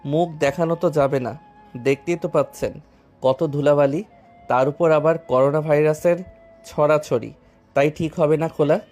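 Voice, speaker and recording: A man playing a calm, fearless young student hero in an audio story, with a composed, defiant manner. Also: a little high, moderate pace, soft background music, lightly acted. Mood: evasive, polite. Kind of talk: dramatized audio story acting.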